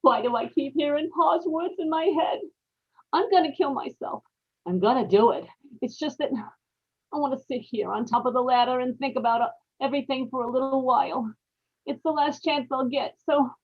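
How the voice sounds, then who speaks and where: Woman acting a haunted murderous wife, high voice. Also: moderate pace, husky, highs cut off. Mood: haunted, confessing. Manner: dramatic, brooding confessional with repeated phrases. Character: guilt-haunted murderous young wife, unhinged and brooding. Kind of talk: old-time radio horror monologue.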